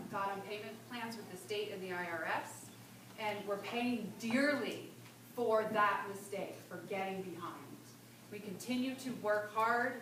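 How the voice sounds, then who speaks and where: A woman's voice: mid-range voice, fairly bright tone, a little hiss or hum. A woman, a political candidate, answering a question at a town forum in an earnest, composed way. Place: community meeting hall. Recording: echoey hall from far off.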